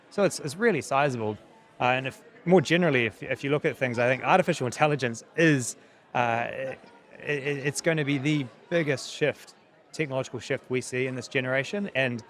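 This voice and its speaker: A man in his thirties with a New Zealand accent answers interview questions earnestly, often pausing and repeating words as he thinks. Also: mid-range voice. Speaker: technology company founder, interview guest.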